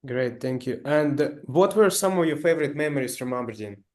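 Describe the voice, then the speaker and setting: A man works as an interviewer, asking short and polite questions. He thanks the guest briefly before each new question. His voice sits at an ordinary middle pitch. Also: expressive, brisk, darkish tone.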